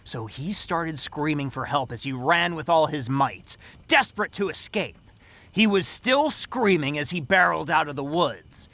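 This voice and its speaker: A man playing a cheerful young camp counselor who tells scary stories with dramatic pauses in an audio drama. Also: on the high side, animated, pushed and bright, heavily acted. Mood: suspenseful. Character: young male camp counselor, theatrical campfire storyteller, cheerful. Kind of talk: audio drama acting, telling a scary story.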